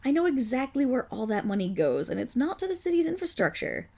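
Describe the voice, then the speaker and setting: A woman playing a silky, gravelly villain boss. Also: sits high, big pitch swings, fairly quick, mellow, heavily acted. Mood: scornful gossip. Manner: silky, condescending, purring endearments. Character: suave, scheming villain boss, possessive and protective. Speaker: voice actor. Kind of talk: ASMR roleplay audio drama.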